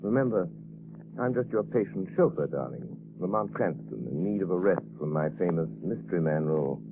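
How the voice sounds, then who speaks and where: A smooth rich detective in an old radio show, very deep voice, wry, hissy tinny sound. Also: male voice, animated, a little fast. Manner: urbane, wry. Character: suave wealthy amateur detective, dry wit. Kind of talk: 1930s radio drama acting.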